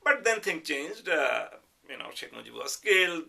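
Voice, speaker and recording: A middle-aged or older man with a Bengali accent, giving a reflective interview in a measured, hesitant way with careful qualifications. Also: a little high, sing-song, vocal fry, bright, forceful tone. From Bangladesh. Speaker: interviewee, professor. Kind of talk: reflective oral history interview.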